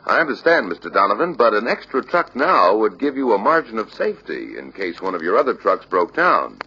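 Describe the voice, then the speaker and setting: An actor playing a courteous truck salesman in a scripted training film, making a polite, reasoned pitch. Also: very animated, a little fast, sharp tone, slight background noise, heavily acted. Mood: persuasive. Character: persuasive, courteous truck salesman, adult man. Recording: dull lo-fi old training film soundtrack.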